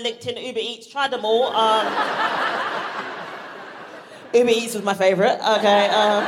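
A woman from Barbados performing a live stand-up comedy set, punchy and playful, shouting out her punchlines. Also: on the high side. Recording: live stage recording with audible background noise.

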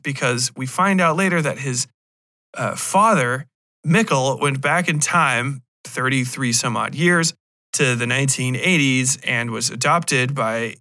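A man's voice: medium pitch, sharp tone, dry recording, clean studio sound. An American man hosting a casual solo podcast, rambling through a review in a blunt, irreverent, profane way. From United States. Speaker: podcast host.

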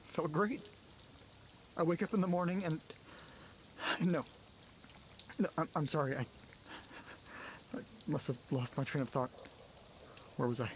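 An actor playing an anxious, distressed young man on a phone call to his mother in an audio drama, halting and pleading as he talks. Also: a little high, expressive, slight background noise. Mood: confused, faltering. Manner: hesitant, halting, faltering, pleading.

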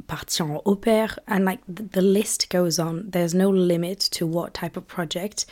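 Young woman, podcast host, casual rambling solo chat, slightly low and mellow tone. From England. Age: young adult. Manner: chatty, rambling, many fillers and restarts.

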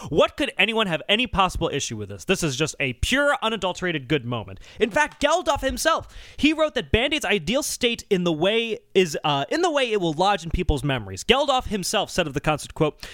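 A man hosting a podcast, explaining things in a casual, animated and wry conversational way. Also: high-pitched, fairly quick, no echo, studio quality. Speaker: podcast host.

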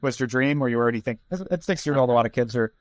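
A man's voice: medium pitch, fast, dry recording, clean studio sound. A man hosting a podcast interview in a casual, informal and inquisitive way, with some joking.

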